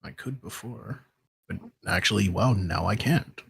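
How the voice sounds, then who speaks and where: Casual podcast guest, husky and creaky. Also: low-pitched, measured pace, dry recording. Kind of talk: casual chat.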